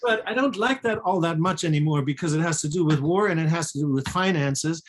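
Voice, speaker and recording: A man's voice: a little high, brisk, slight background noise. A man chatting casually and candidly as a co-author in a personal conversation, with a self-deprecating manner. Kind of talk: casual unscripted chat.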